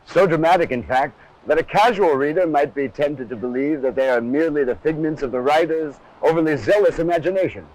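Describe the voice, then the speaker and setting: Higher-voiced man narrating an old film. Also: brisk, a little mellow, rough recording. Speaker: presenter of an army training film. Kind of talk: scripted documentary narration. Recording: harsh over-modulated old film soundtrack.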